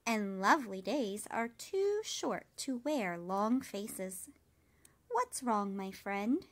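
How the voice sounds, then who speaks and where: A woman voicing a wise old female spider in a storybook, kindly, self-assured and gently reassuring. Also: mid-range voice, very animated, takes it slowly. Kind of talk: storybook character reading.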